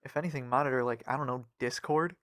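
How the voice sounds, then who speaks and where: A man's voice: medium pitch, fairly even intonation, fast, a bit bright, no echo, clean studio sound. A man co-hosting a casual gaming podcast, chiming in with informal, joking banter. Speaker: podcast co-host.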